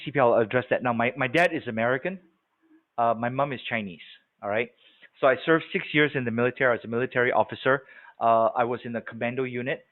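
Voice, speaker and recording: A man in his forties from Singapore leads a sales training webinar in a mid-range voice. He talks in a loose, conversational way and keeps checking that his listeners follow. The sound is narrow and tinny, like a phone call. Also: medium pitch. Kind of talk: live webinar training talk. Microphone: video call.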